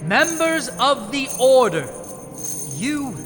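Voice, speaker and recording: A man playing a solemn, high-voiced ceremonial officer. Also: big pitch swings, takes it slowly, a bit bright, soft background music, exaggerated voice. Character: formal male officer presenting initiates at a ceremony.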